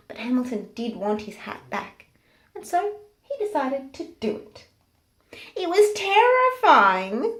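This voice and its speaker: Woman, high voice, children's storyteller, picture book read-aloud. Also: slight background noise. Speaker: storybook narrator.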